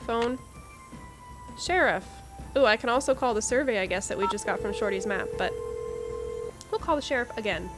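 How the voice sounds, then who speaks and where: A woman's voice: on the high side, expressive, normal speed, long pauses, music in the background. A woman giving casual, chatty playthrough commentary as a gaming host, reacting aloud with interjections.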